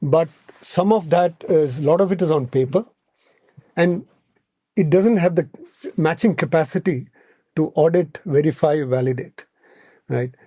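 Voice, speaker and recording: Indian guy as podcast expert, soft mellow tone, explains stuff step by step, really dry recording. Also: a little high, a little slow, long pauses, husky, no echo. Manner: explanatory, listing points, often ending on "right?". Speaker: expert podcast guest and advisor.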